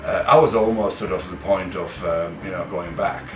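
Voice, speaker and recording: A middle-aged man, a mountain manager talking in an interview in a relaxed, rambling way. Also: deep voice, moderate pace, over music. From Switzerland. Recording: distant echoey mic.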